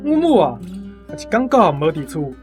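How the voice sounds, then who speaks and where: A man's voice: on the high side, huge pitch swings, moderate pace, a little mellow, soft background music. A man with a Taiwanese accent playing a guileless, talkative young army conscript in a radio drama. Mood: matter-of-fact.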